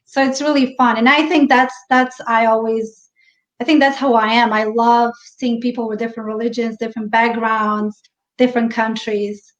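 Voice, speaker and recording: A woman with a Bosnian accent, chatting warmly as a guest on a live stream and laughing easily. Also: a little high, fairly even intonation, moderate pace. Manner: warm, chatty, laughs easily, restarts sentences. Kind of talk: casual interview chat over a live stream. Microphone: video call. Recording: echoey, dull lo-fi live stream audio.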